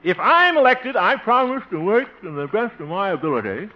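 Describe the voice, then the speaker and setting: A man's voice: high voice, big pitch swings, a little fast, pushed and bright, heavily acted. An actor playing a good-natured, bashful man running for mayor in a 1950s radio comedy, blustery, flustered and given to chuckling. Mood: pompous oratory. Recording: muffled 1950 radio recording, noisy, audience.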